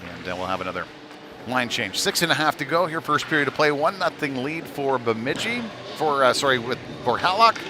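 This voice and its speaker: A man's voice: medium pitch, sing-song, fairly quick. A man giving live play-by-play of a youth ice hockey game in short, clipped phrases that trail into asides. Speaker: play-by-play commentator. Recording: noisy rink with ambient clatter behind. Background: rink game noise.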